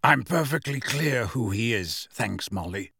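Man acting storybook godfather, male, defiant, impatient, curt, proud, animated intonation. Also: sits high, unhurried, gravelly, slightly rough, no echo, clean studio sound, pitched above the performer's own voice, heavily acted. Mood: cold, angry.

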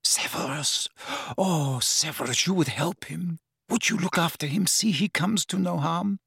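A woman's voice: mid-range voice, big pitch swings, a little slow, gravelly, breathy edge, no room sound, clean studio sound, voice pushed up, heavily acted. Narcissa, a frantic sobbing mother , as a man puts her on. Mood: begging. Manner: choked, pleading, near tears. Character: desperate weeping mother, pleading frantically. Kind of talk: audiobook character voice.

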